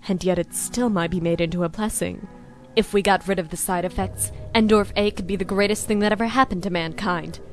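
A woman's voice: mid-range voice, fairly even intonation, fairly quick, faint music behind, natural voice. A woman playing a calculating, self-assured female scientist villain in an anime dub, with composed, coaxing delivery. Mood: defensive, earnest. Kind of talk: dramatic anime dub acting.

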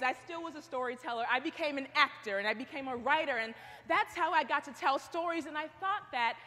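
A woman's voice: high voice, fairly bright tone. A young woman preaching a sermon, telling stories animatedly and acting out what she says. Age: young adult. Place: large stone chapel. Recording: long hall reverb.

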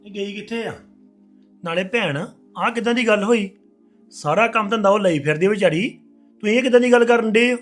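Husband role played by an actor, high-pitched, demanding and puzzled. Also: male voice, quick pace, faint music behind, lightly acted. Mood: questioning. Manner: calling out, questioning. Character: husband, puzzled and pushing back. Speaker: husband in a family drama. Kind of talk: domestic drama acting.

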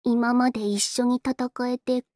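A woman plays a shy young female android fighter from an anime game. The voice is high and unhurried, recorded very dry. The delivery is anxious and earnest, with timid, stammering hesitations. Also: little pitch movement, a little mellow, no room sound, close to an everyday voice. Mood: defeated, resigned. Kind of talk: Japanese anime game voice lines.

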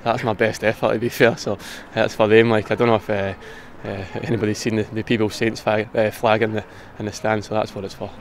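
Fast-talking gravelly Scottish footballer. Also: male voice, fairly low pitch, little pitch movement, slight background noise. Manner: quick, gravelly, casual, says "aye". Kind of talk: post-match interview. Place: outdoors pitchside at a stadium. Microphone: handheld interview mic, close camera.

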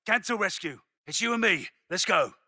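An actor playing a tough, focused British special forces soldier in a military video game, giving clipped, commanding combat callouts. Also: male voice, sits high, expressive, normal speed, pushed and bright, dry recording, studio quality, heavily acted. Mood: low, controlled. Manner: clipped, commanding, terse radio-style callouts.

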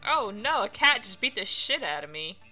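A woman doing casual let's play commentary as a game streamer, amused and quick to laugh. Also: mid-range voice, sing-song, sharp tone, faint music behind, rough recording.

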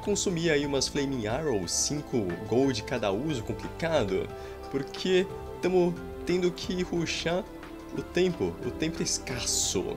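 A young man with a Brazilian Portuguese accent giving playful, rambling gameplay commentary with roleplay jokes and sudden excited outbursts, recorded indoors at home. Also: on the high side, unhurried. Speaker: gameplay streamer. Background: faint game music.

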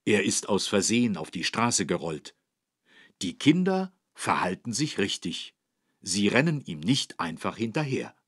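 A low, husky-voiced man as a friendly teacher in a children's learning game. His scripted narration is patient and encouraging, with very lively intonation. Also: unhurried, no echo, clean studio sound, full character voice. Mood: instructive. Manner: encouraging, clearly didactic. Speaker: instructor and narrator.

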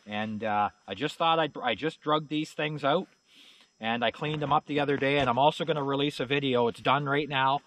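A man's voice: mid-range voice, a little fast, a bit bright. A middle-aged man, rambling casually as he shows off snowmobiles outdoors in the snow, with lots of "you know" and "uh". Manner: folksy, plain-spoken, lots of fillers like "you know" and "uh". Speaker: channel host showing snowmobiles. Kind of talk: casual rambling walkaround talk. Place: outdoors in a snowy yard.

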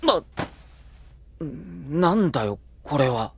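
An exasperated young officer in an anime audio drama, scolding like a stern senior. The voice is fairly high and very animated, on a slightly dull recording with music. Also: male voice, slow, slightly rough, full character voice. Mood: startled.

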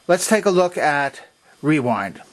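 A man's voice: on the high side, very animated, unhurried. A man presenting a hands-on repair demonstration, explaining methodically and pointing things out step by step.

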